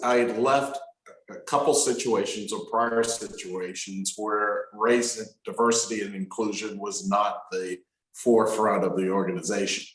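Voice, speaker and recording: A low-voiced man in his sixties speaks on a video call panel, measured and halting, pausing on fillers. His voice carries a noticeable echo from the room around him. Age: 60s. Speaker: company owner and panelist. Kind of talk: online panel discussion.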